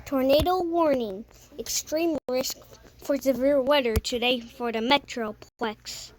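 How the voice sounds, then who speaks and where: A very high, female-sounding voice plays weather announcer in a homemade emergency bulletin. The delivery is slow and halting, with stumbles and self-corrections, over faint background noise. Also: poor audio. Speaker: amateur play-acting weather announcer. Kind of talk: amateur mock TV weather emergency broadcast.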